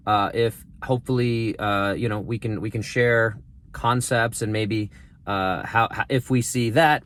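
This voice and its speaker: A man's voice: mid-range voice, a bit bright, slight background noise. A male clinician talking casually to camera from his garage, explaining an assessment in a chatty, rambling way. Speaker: physical therapist. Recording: roomy echo.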